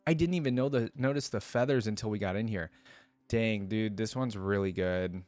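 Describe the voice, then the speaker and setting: A man's voice: lowish voice, moderate pace, darkish tone, no room sound. Laaggestemde man, droge opname, informele reactievideo. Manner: informal, slangy, rambling, enthusiastic. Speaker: reaction video host. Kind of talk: casual unscripted reaction commentary.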